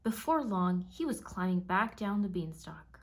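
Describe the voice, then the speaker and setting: Slow-paced woman reading a children's story. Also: mid-range voice, expressive. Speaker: storybook narrator. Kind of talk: scripted children's read-aloud narration.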